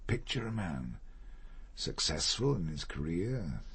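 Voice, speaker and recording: An actor playing a proud, reserved older gentleman in a radio drama, speaking formally and deliberately, with guilt under the surface. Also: low voice, sing-song, gravelly, husky, exaggerated voice. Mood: measured, confessional.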